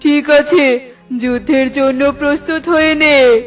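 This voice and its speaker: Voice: sits high, fairly even intonation, takes it slowly, distant mic, faint music behind. An actor voicing an arrogant, boastful evil male sorcerer in a children's cartoon, with a taunting villain manner. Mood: menacing, gloating.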